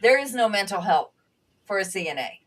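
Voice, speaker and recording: A woman whose first language was Bulgarian, a panel guest and former nursing assistant, speaking earnestly and reflectively with halting restarts. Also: mid-range voice, lively intonation, fairly bright tone, distant mic. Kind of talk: conversational panel talk.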